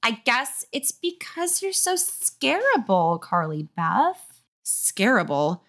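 A woman voicing a matter-of-fact, gently teasing schoolgirl with a drawn-out, placating manner in a story reading. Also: a little high, very animated, pitched above the performer's own voice, exaggerated voice. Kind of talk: comic audiobook reading.

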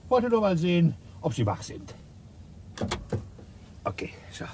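An actor playing a shrewd, sardonic, dogged police inspector in a German radio crime drama, commanding and dry with muttered asides. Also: male voice, sits high, wildly swooping pitch, measured pace, a little mellow, a little hiss or hum, full character voice. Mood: probing.

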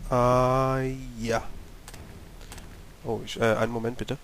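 A German-speaking man with a cold, slightly hoarse, giving chatty commentary while playing a horror game and talking to his character. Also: medium pitch, flat delivery, slow pace, noisy background. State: has a cold, clearing his throat. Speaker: let's play gamer. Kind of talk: casual gameplay commentary.

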